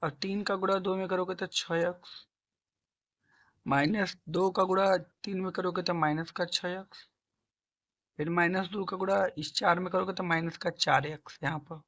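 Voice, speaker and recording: A hoarse man with a sore throat teaching a math lesson, coaxing students along and asking and answering his own questions. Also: sits high, fairly even intonation, normal speed, a bit bright, muffled recording. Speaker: math tutor. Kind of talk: classroom-style math lesson.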